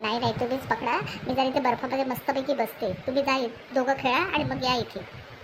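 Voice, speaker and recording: Lady playing relaxed family mom in a cartoon comedy, high pitched, noisy ambience. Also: brisk, lightly acted. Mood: easygoing. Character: easygoing mother and wife on family holiday. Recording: clear background noise, ambience.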